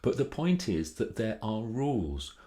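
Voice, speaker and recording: A man's voice: lowish voice, big pitch swings, unhurried, dark tone. A British man giving informal advice as a presentation coach, chatty and theatrical with emphatic delivery. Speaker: presentation coach hosting a video series. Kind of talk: informal to-camera advice talk.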